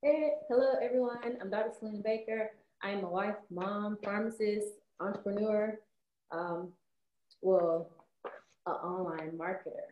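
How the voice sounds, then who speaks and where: A woman's voice: mid-range voice, moderate pace, highs cut off, a little hiss or hum. Lady in the hot seat at a seminar, kinda unsure, lots of restarts, echoey room. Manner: hesitant, restarts, laughs a lot. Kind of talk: live stage conversation.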